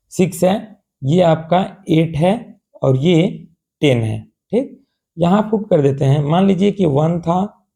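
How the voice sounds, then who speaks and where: A male tutor with a cold that leaves his voice hoarse teaches a math lesson step by step. His voice is gravelly and dark, and sits a little high for a man. He keeps prompting his students with rhetorical questions and fond check-ins. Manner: asks rhetorical questions and often checks in with "theek hai na"; calls students "babu" in a patient, coaxing tone. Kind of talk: step-by-step explanation.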